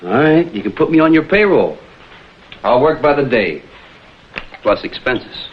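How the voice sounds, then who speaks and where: An actor playing a wry, laconic private detective in a vintage screen drama. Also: male voice, medium pitch, big pitch swings, measured pace, breathy edge. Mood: dry, businesslike. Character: wry, cool private detective, adult man. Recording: dull vintage TV soundtrack, background music.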